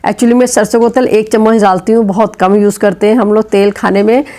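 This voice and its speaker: A middle-aged woman with a sore throat and fever talks her way through home chores in a chatty vlog. Her voice sits at an ordinary middle pitch, and she explains each step as she goes. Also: fairly even intonation, fairly quick, mellow, slight background noise. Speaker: vlogger. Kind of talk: casual home vlog narration. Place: home kitchen.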